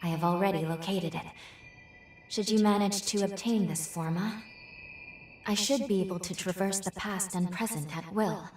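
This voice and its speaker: A woman voicing a gentle, earnest young time traveler in a video game, with formal, polite, measured phrasing. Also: medium pitch, fairly even intonation, echoey room, recorded from a distance, a little hiss or hum, close to an everyday voice. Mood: calm, earnest. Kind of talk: scripted video game cutscene acting.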